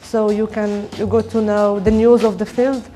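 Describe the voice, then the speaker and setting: A woman from Morocco, a PhD candidate, answering interview questions at a conference with hesitant pauses. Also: mid-range voice, flat delivery. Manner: hesitant, soft-spoken.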